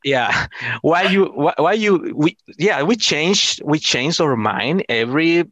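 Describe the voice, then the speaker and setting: A middle-aged man from El Salvador with a Salvadoran Spanish accent, answering casually in halting English, often repeating and rephrasing his words. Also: on the high side, animated, a bit bright, no room sound, dull audio. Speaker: interview guest, amateur astronomer.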